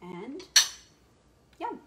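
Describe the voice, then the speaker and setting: A woman's voice: sits high, sing-song, very slow, dark tone, a little hiss or hum. A young woman teaching history while she cooks in her home kitchen, chatty and explaining each step. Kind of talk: cooking demonstration with history lesson.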